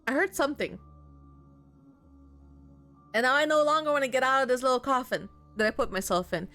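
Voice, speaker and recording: A woman giving expressive, sweary commentary as she plays a horror game, reading some of the text aloud. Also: sits high, fairly quick, faint music behind. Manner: expressive, sweary, reacting out loud. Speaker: video game streamer.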